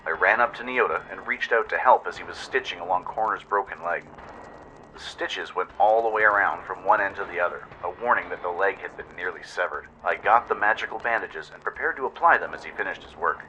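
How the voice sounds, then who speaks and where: Male narrator, low-pitched, sharp forceful tone, theatrical scripted storytelling, radio drama style, faint background noise. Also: brisk, lo-fi sound.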